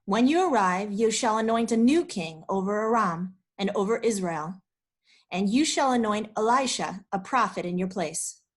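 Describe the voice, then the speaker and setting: A woman's voice: mid-range voice, lively intonation, moderate pace, close to an everyday voice. A woman reading the commanding voice of God in a dramatized scripture reading. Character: commanding voice of God addressing a prophet.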